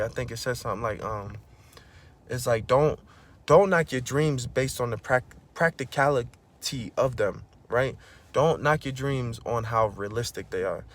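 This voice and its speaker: A man vlogging a casual motivational monologue, preachy and full of slang, often saying "bro" and "you know what I'm saying," getting loud and high when he is fired up.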